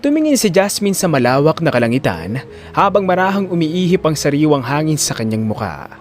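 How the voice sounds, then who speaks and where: A man's voice: on the high side, sing-song, fairly quick, faint music behind. A man delivering dramatic scripted story narration as the narrator of an audio drama.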